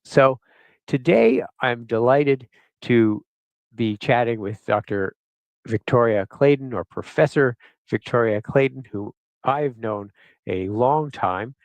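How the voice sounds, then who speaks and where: A man's voice: mid-range voice, dry recording, muffled recording. A middle-aged man hosts an interview over a video call. His voice sits in the middle of a man's range and sounds dry and close. He talks in a friendly way, stopping and restarting with plenty of fillers. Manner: friendly, halting, many fillers. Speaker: host. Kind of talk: interview hosting.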